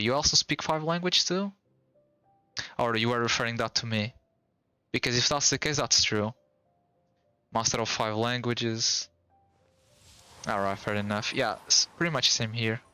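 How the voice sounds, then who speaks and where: A man's voice: medium pitch, pushed and bright, smooth, no echo. A young man with a Portuguese accent, a native Portuguese speaker, chatting casually with viewers while streaming. He rambles in a loose, slangy way and restarts often. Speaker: game streamer.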